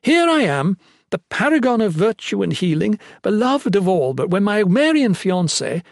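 A man voicing a high-born young woman in an audiobook, bitter, imperious and anguished, speaking with theatrical, sarcastic emphasis. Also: big pitch swings, moderate pace, a little mellow, no echo, clean studio sound. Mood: bitter sarcasm.

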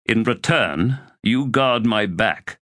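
A man's voice: fairly low pitch, animated, takes it slowly, a bit bright, no echo, studio quality, voice pushed up. A man voicing Torrent, a weary and defiant young male warrior, in an audiobook reading.

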